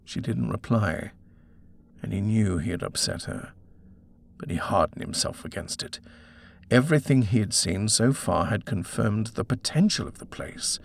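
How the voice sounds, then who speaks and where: A man's voice: fairly low pitch, vocal fry. A man reading a horror story as a dramatic audiobook narrator.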